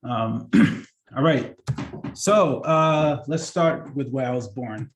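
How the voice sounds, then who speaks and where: A man's voice: medium pitch, slow. Chatty man giving a casual career talk. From born in Kenya, grew up in Seattle. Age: 30s. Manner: relaxed and chatty, many fillers and restarts. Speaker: workshop presenter.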